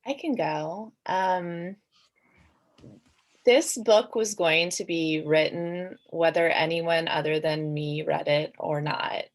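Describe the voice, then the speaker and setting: A woman's voice: low voice, fairly even intonation, slow. A woman author talking casually and reflectively on a panel, hedging and saying "like" often as she thinks aloud.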